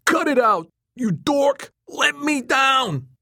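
A man's voice: a little high, animated, a little slow, no echo, clean studio sound, pitched above the performer's own voice. A man voicing an angry, foul-mouthed young man who threatens and curses in an audiobook. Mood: furious, shouting. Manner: shouting, threatening, cursing. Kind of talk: audiobook character voice.

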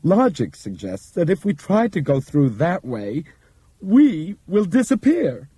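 A man voicing a shaken middle-aged man who speaks slowly and solemnly, like a formal announcement. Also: on the high side, huge pitch swings, mellow, husky, no room sound, pitched above the performer's own voice, exaggerated voice, low-quality recording. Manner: slow, measured, solemn announcing.

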